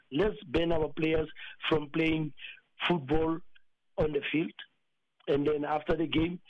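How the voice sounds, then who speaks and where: A man who is a football coach, with a South African accent and a husky voice hoarse from celebrating, speaking emphatically with many fillers in a radio interview. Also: fairly high pitch, dry recording, lo-fi sound.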